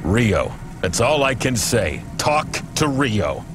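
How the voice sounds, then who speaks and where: A man's voice: mid-range voice, sing-song, slow, noisy background. Jumpy, irritable male informant. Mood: nervous, annoyed. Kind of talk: video game voice acting.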